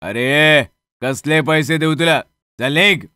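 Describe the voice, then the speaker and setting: A man's voice: a little high, takes it slowly, sharp tone, clear, resonant tone, no room sound, clean studio sound. A man voicing a stingy, contemptuous bully of a customer, curt and demanding, in a horror story. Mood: threatening.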